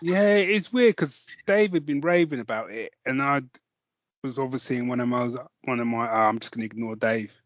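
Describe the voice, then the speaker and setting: A man's voice: mid-range voice, normal speed, dull audio, slight background noise. A man chatting casually as a podcast co-host, rambling and jokey in a self-deprecating way.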